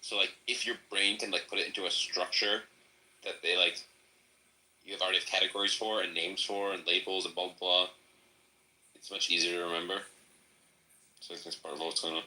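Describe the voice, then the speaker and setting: A man in a casual chat, talking in a rambling, animated way. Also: low voice, fairly quick, pauses often, rough recording. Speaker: podcast participant.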